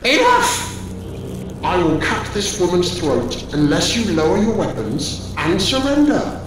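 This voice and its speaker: A menacing alien-empire commander in a sci-fi audio drama. He speaks high and deliberately, imperious and swinging in pitch, in a heavily echoing space. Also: wildly swooping pitch, background noise, heavily acted. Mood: furious. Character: menacing villain commander serving a dark master. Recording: distant, echoing, music underneath.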